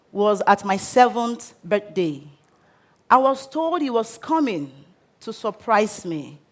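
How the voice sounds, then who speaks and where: A Nigerian woman in her thirties telling her own life story on stage, earnest and measured with pauses. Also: mid-range voice, fairly bright tone. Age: 30s. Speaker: speaker. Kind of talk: personal story talk on stage. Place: stage before a live audience.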